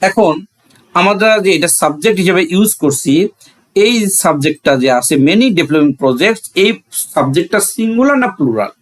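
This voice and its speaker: A man's voice: high voice, expressive, moderate pace. A man with a Bangladeshi accent teaching a grammar lesson, asking questions and answering them himself, repeating phrases for emphasis.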